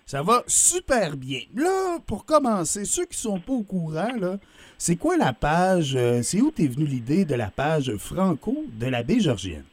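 A man's voice: a little high, wildly swooping pitch, unhurried, a little mellow, breathy edge, no room sound. A man with a Canadian French accent hosting a casual, upbeat interview as a radio host.

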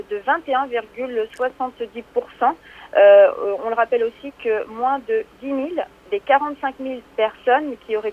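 A woman hosts a radio segment with brisk, informative delivery. Her voice sits a touch high. The sound is narrow and phone-like. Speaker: radio host. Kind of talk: radio chat. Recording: thin phone-like broadcast sound.

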